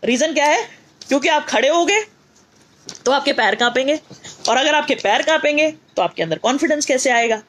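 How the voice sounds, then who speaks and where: A middle-aged woman with a North Indian accent speaks in a high voice as a motivational teacher. She mixes Hindi and English, repeats key phrases for emphasis and poses rhetorical questions to her learners. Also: expressive, a little fast, fairly bright tone.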